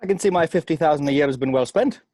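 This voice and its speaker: A man's voice: on the high side, very fast, darkish tone, no room sound, natural voice. A man playing a charming, brash seventy-year-old tycoon in a script table read, with a jovial, showy delivery.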